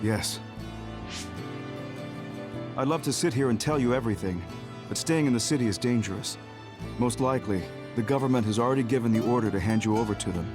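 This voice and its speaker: A man playing a composed, guarded senior officer in a video game, talking in a measured, explanatory way. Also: medium pitch, music in the background, close to an everyday voice. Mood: grave, composed. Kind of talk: English video game voice acting.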